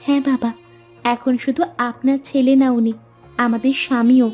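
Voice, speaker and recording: A woman's voice: sits high, little pitch movement, moderate pace, dark tone. A woman playing a devoted, brave young village wife in a cartoon moral tale, speaking in an earnest, dramatic way. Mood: earnest, resolute. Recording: music under the voice.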